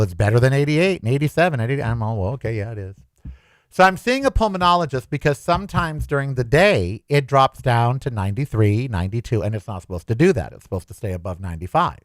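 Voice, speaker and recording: A man hosting a solo podcast, talking in a chatty, anxious, animated way. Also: mid-range voice, dry recording. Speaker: podcast host. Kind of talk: casual solo podcast monologue.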